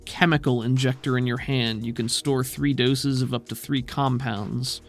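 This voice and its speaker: A man at a middling pitch runs a tabletop game, explaining the rules in a loose, thinking-aloud way. He swears casually. Faint music sits under his voice. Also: steady tone, moderate pace. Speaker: game master.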